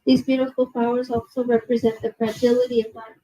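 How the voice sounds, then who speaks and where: A young woman giving a nervous museum presentation to an audience, reading from notes with many fillers and apologies, her soft low voice held away from a handheld mic indoors. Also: level tone, a little fast, breathy edge, rough recording. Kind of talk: reading prepared talk to a live audience. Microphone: handheld mic held at a distance.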